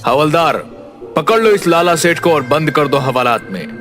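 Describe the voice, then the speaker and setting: Cartoon police hero: stern inspector, commanding, fairly high male voice, music bed. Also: animated, moderate pace, fairly bright tone. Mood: stern, triumphant. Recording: music behind the voice.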